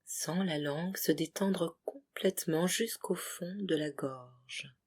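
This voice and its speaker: Very slow, breathy yoga nidra guide. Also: mid-range voice. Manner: soothing, hushed, with short separated phrases. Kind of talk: guided relaxation meditation.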